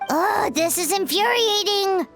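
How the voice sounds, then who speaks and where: A woman voicing a small, childlike flying fairy companion in a video game, squeaky, excitable and cocky. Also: a little slow, exaggerated voice. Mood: frustrated. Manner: excitable, exclamatory. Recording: music behind the voice.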